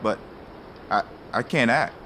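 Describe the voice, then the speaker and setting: A man's voice: medium pitch, unhurried, pushed and bright, a little hiss or hum. An actor playing a subdued, unsure aging male prizefighter in an audio drama.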